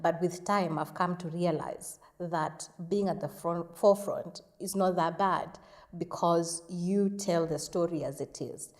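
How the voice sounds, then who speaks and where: Earnest woman, low-pitched. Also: a little mellow, in a large hall. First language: Kikuyu. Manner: earnest, measured, reflective. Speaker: charity organizer, interview guest. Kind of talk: casual interview answers.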